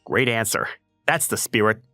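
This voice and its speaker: A man playing a flustered, introverted high school boy and aspiring writer in an anime-style comic dub, animated and prone to exasperated lecturing. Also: normal speed, no echo, soft background music. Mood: confident lecturing.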